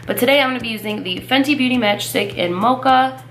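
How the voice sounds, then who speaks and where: A woman's voice: fairly high pitch, fast, a bit bright, soft background music. A young woman in her twenties giving a casual makeup tutorial, chatty and upbeat with many filler words, sounding nasal as she gets over a cold. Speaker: beauty vlogger.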